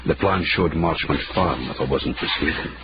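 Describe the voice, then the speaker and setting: An actor playing a gruff, menacing Edinburgh investigator in a radio drama. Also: male voice, rumbling low, normal speed. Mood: reflective. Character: gruff, menacing Edinburgh investigator narrating his own tale. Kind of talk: radio drama acting and first-person narration. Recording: muffled, hissy old-radio style recording.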